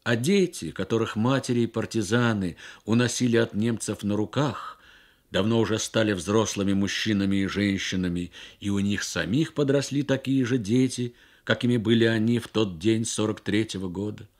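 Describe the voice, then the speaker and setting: Guy with a lowish voice reading a serious story for soviet radio, measured, pauses between phrases. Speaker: reader of a short story on radio. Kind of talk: dramatic literary narration for Soviet radio.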